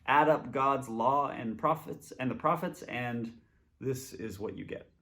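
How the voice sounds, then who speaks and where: Man emphatically reading scripture, mid-pitched. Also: measured pace, fairly bright tone. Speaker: scripture reader.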